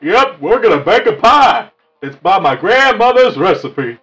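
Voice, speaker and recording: Man voicing a high-pitched, good-natured cartoon wolf. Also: very animated, unhurried, a bit bright, full character voice. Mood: easygoing. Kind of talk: improvised cartoon roleplay. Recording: slightly dull lo-fi mic, music behind.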